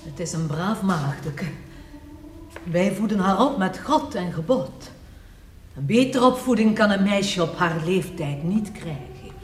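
An actress playing a stern, pious convent head with a formal, disapproving manner in a period audio drama. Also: female voice, medium pitch, lively intonation, a little slow, natural voice. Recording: echoey, distant, noisy background.

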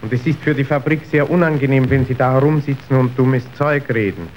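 An actor playing a slick, manipulative factory official in a radio drama, speaking in a measured, officious, persuasive way. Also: male voice, medium pitch, a little mellow, lightly acted. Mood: coolly persuasive. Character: slick, calculating male factory boss's agent, smoothly manipulative. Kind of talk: stage-like German radio drama acting. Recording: dull, noisy old radio recording.

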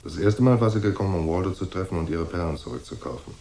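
An actor playing a cool, unflappable private detective who narrates a noir radio drama in a dry, laconic way. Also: male voice, low voice, animated, quick pace, mellow, slight background noise. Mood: cool, matter-of-fact. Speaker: first-person narrator and detective.

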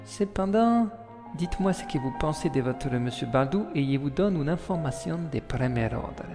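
A man playing a smitten, courteous gentleman suitor who is easily flustered, in a French audio drama with formal period speech. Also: fairly high pitch, animated, moderate pace. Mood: probing. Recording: long reverb, clear music behind.

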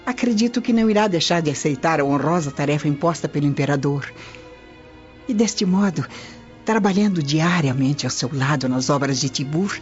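A woman's voice: sits low, moderate pace, close to an everyday voice. An actress with a Brazilian accent playing a proud, scheming noblewoman in a radio soap, theatrical and fervent. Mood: proud, determined. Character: proud, passionate scheming noblewoman chasing lost love. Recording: dull lo-fi audio under music.

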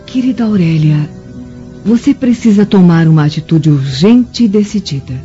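A woman acting a scheming Roman mother in a radio play, cautious and commanding. Her tone is lowish and velvety, with deliberate theatrical phrasing. The sound is a bit muffled. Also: expressive, mellow, soft background music, natural voice. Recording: muffled radio drama recording.

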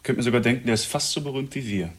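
Husky, cocky male outlaw played by an actor. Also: mid-range voice, rapid-fire, lightly acted. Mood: smug, teasing. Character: cocky, crude, folksy male outlaw killer, sweet-talking. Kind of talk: German radio drama acting.

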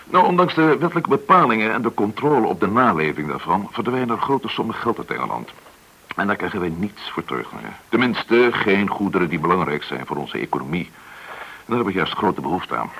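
An actor in a radio play as a courteous, probing government agent, explaining things with some hesitation. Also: male voice, low voice, big pitch swings, a little fast, gravelly, bright, forceful tone, husky, full character voice, low-quality recording. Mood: grave, explaining. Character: polite, probing male government agent recruiting an informant.

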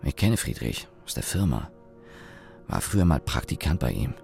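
A sardonic young engineer in a radio drama. His voice is very low and gravelly, with lively intonation. He tells his story wryly and deliberately, pausing and correcting himself as he goes. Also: faint music behind, heavily acted. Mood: dry, sardonic. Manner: wry, deliberate, with pauses and self-corrections. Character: young engineer, sardonic company employee recalling his father. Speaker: narrator and lead actor. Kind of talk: German radio play monologue, reflective storytelling.

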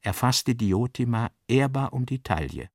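A man's voice: medium pitch, lively intonation, unhurried, darkish tone, no echo, studio quality. A man reading literary narration for a radio drama in a scripted style.

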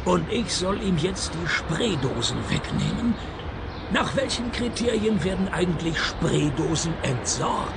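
An actor playing a dry, sardonic private detective in a radio play, deadpan and wry as he narrates and talks. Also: high-pitched, a little slow, gravelly, heavy background noise, full character voice.

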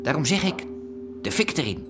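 An actor playing a cool gangster in a radio drama, speaking with casual, insinuating menace. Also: male voice, fairly high pitch, big pitch swings, moderate pace. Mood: coolly menacing. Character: cool, menacing male gangster, boxing-school owner. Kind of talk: naturalistic radio drama acting.

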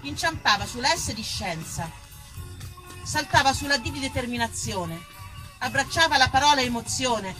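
A woman from Tuscany performing a theatrical monologue as an actress and storyteller, expressive and emphatic, dwelling on single words. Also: high voice, moderate pace, over music, poor audio. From Tuscany, Italy. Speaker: stage actress, writer and storyteller.

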